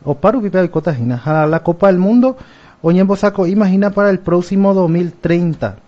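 A man's voice: sits high, a little fast, dark tone, lo-fi sound. Paraguayan man reading football scores. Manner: reads out match results, mixing Guarani into Spanish. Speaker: radio sports presenter. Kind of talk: radio news chat.

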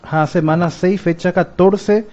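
A man's voice: high voice, steady tone, measured pace, dark tone, muffled recording. A man with a Paraguayan accent presenting sports results on radio, mixing Guarani into his Spanish. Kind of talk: radio news chat.

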